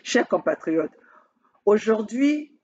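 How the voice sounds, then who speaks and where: A woman from Congo, addressing her camera as a political activist in an emphatic, repetitive way, halting often on fillers. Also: mid-range voice, sing-song, darkish tone, highs cut off, a little hiss or hum. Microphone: speaking to own camera.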